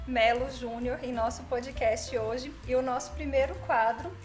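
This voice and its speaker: A woman's voice: fairly high pitch, fairly even intonation, moderate pace, darkish tone, soft background music. A woman hosting a podcast, welcoming guests and asking questions in a loose, friendly way. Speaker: podcast host.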